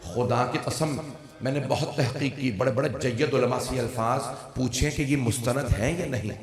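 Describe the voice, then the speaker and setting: A man delivering a mournful sermon in an emotional, lamenting way, his throat strained. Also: mid-range voice. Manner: emotional, lamenting, slow and solemn. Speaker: religious orator. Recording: reverberant hall.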